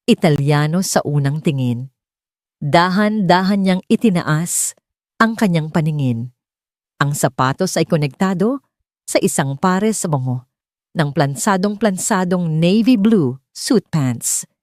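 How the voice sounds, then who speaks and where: A woman narrating a scripted audio drama, telling it slowly and dramatically. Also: low voice, a little mellow, dry recording, studio quality. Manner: slow, dramatic, measured storytelling.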